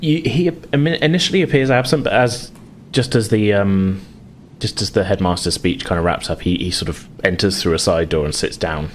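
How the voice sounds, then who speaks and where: Male game master, deep register, halting improvised narration, faint noise behind the voice. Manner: hesitant, hedging delivery.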